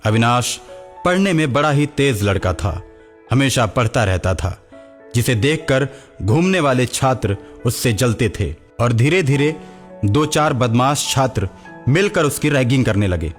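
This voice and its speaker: Man for scripted story narration, medium pitch, with music playing behind. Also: lively intonation, unhurried.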